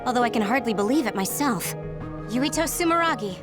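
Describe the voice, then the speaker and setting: A woman's voice: fairly high pitch, expressive, brisk, over loud music, lightly acted. An actress playing an analytical, self-possessed female psionic squad member in a dramatic video game scene. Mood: thoughtful, probing.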